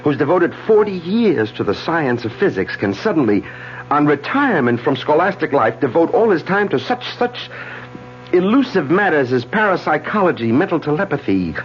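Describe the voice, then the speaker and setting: A stammering skeptical doctor. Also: male voice, fairly high pitch, very animated, normal speed, a little hiss or hum. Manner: stammering, incredulous. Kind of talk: 1970s radio drama acting. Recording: muffled old radio broadcast with music.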